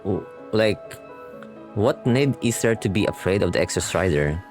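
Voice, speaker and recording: Lively low-voiced man chatting over game music. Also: normal speed. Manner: rambling, reads game dialogue aloud. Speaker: game streamer reacting to a story quest. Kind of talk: casual reaction commentary with slang. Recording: game music playing behind. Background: video game music and dialogue.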